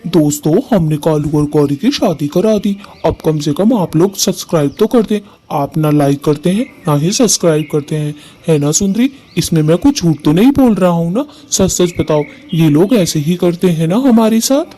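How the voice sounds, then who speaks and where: Man voicing male cartoon bird, highish mellow voice, earnest, devoted, affectionate, family cartoon. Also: lively intonation, moderate pace, hardly any pauses. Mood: complaining. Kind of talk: Hindi family cartoon story acting.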